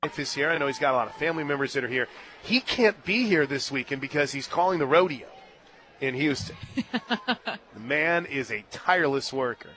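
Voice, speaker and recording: An American man working as a radio play-by-play announcer, mixing animated game calls with casual conversational banter. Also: brisk, slight background noise. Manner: animated calls that swell to shouts on big plays, conversational between pitches. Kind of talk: live sports commentary with casual banter. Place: radio broadcast booth at a ballpark.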